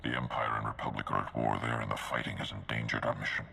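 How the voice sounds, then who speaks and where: An actor playing a commanding, idealistic Jedi master with a deep, gravelly voice in a video game. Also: male voice, flat delivery, fast, bright, forceful tone, long reverb tail, heavily acted. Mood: determined. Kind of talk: video game cutscene acting.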